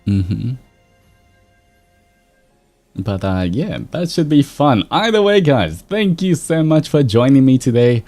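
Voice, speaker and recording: A man's voice: medium pitch, sing-song, takes it slowly, long pauses, dark tone. A man hosting a livestream, chatting casually to his viewers in a laid-back, rambling way. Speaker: livestreamer, VTuber host. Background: background music.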